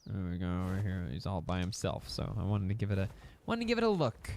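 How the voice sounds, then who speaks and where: A man's voice: sits low, huge pitch swings, quick pace, a little mellow, a little hiss or hum. A young man casually commentating a survival game, rambling to his in-game pets and his audience. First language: English. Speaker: gaming YouTuber. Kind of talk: casual let's-play commentary.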